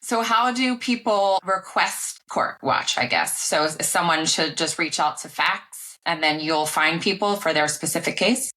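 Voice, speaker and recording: A woman's voice: lowish voice, a little slow, hardly any pauses, sharp tone, poor audio. An American woman hosting a podcast, talking conversationally as she interviews a guest. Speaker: podcast host.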